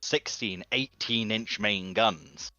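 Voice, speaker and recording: A man's voice: on the low side, slow pace, bright, forceful tone, no echo, lo-fi sound. A man taking part in a casual speculative panel discussion with an inquisitive manner.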